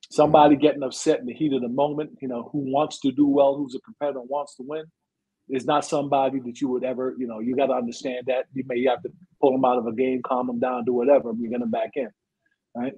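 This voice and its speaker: A man's voice: medium pitch, steady tone, fast talker, mellow, breathy edge. A man who coaches football, giving conversational advice in an explanatory way, checking each point with "right" and "you know". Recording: dull, lo-fi sound.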